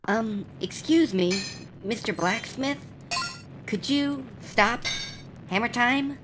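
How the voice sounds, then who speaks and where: A woman voicing a small fairy companion in a cartoon parody, sharp, scolding and politely pointed. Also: fairly high pitch, slow pace, noisy background.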